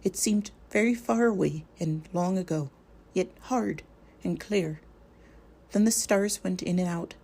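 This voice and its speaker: A woman's voice: lowish voice, mellow, husky. A woman voicing a frightened young male hobbit who stammers and halts as he speaks. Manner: stammering, halting.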